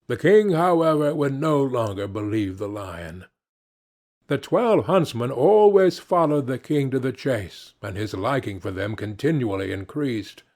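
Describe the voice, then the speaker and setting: Male audiobook narrator for fairy tales: scripted storytelling, mid-pitched, very varied intonation. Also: animated, unhurried, a little mellow.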